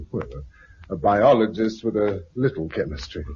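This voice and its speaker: A man's voice: fairly low pitch, animated, normal speed, darkish tone, slight background noise, close to an everyday voice. A man with a British accent playing a thoughtful, weary biologist and family man in a 1950s radio drama. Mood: interested. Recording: muffled 1950s radio recording.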